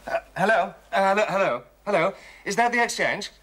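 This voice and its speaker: An actor playing a suave, audacious gentleman thief with an English accent and a breezy, teasing, politely clipped manner in a period drama. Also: high-pitched, lively intonation, brisk, a little hiss or hum, poor audio. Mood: polite, hesitant. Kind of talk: period television drama dialogue.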